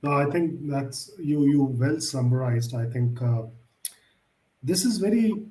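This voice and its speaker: A man's voice: mid-range voice, unhurried, warm tone, reverberant, slight background noise, rough recording. A male interview host who asks questions in a formal, elaborate way, often hedging with "I would say.".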